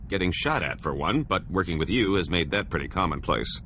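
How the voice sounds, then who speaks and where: Laid-back veteran hustler, low pitch, casual, wry, video game, slight noise behind. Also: male voice, expressive, brisk.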